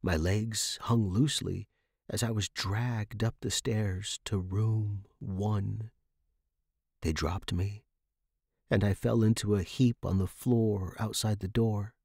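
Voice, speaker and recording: A man's voice: lowish voice, vocal fry, darkish tone, husky, no room sound, clean studio sound. A man hosting a fiction podcast and narrating scripted horror in a dramatic style.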